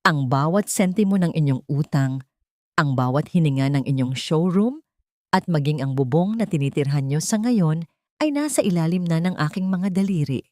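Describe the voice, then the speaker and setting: A woman voicing a composed, vengeful female executive, speaking in a measured, icy and formal way for an audio drama. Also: sits low, expressive, darkish tone, dry recording, clean studio sound. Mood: icy threat. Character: cold, powerful vengeful businesswoman, composed and threatening.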